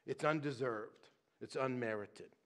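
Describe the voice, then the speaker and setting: A middle-aged man preaching a sermon on a church stage, talking in a loose, animated way with many restarts and tag questions. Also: medium pitch, unhurried. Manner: conversational, animated, frequent restarts and "right?". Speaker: pastor.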